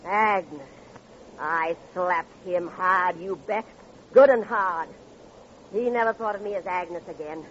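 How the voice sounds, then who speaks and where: Bitter, flirtatious American divorcee, muffled. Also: female voice, fairly low pitch, slow, fairly bright tone. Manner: theatrical, taunting, abrupt swings in delivery. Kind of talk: old-time radio crime drama acting. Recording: muffled, noisy vintage radio recording.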